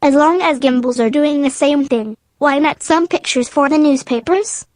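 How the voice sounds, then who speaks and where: Lady playing keen office woman, high pitched, polite and eager, forties radio drama, dry sound. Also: moderate pace, darkish tone, no room sound, close to an everyday voice. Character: keen, polite career woman, store executive. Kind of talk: 1940s radio drama acting.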